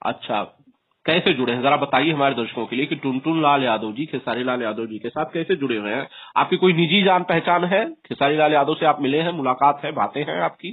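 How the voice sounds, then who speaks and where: A man's voice: a little high, brisk, slightly rough. A man working as a news interviewer, putting formal, pressing questions over a phone call. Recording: thin phone-like sound.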